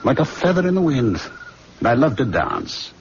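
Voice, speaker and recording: A man's voice: mid-range voice, big pitch swings, a little hiss or hum. An actor playing a sly, teasing, confident young medical man who needles and banters, in an old-fashioned radio drama. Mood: sly, amiable. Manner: needling, bantering, drawn-out questioning. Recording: dull lo-fi radio recording, music.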